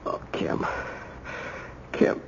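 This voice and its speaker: An actor with an American accent playing a weary but loving condemned man in a halting, plain-spoken radio drama style. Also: slight background noise. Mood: tender, resigned. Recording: dull lo-fi old radio recording.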